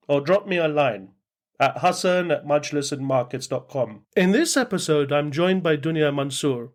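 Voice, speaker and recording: Friendly male podcast host. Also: a little high. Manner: friendly, loose with fillers like "like" and "you know". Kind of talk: podcast interview hosting.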